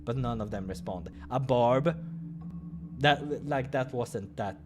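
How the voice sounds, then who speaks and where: A man's voice: mid-range voice, takes it slowly. A man reading a visual novel's story aloud as narrator, with offhand asides of his own. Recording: music behind the voice.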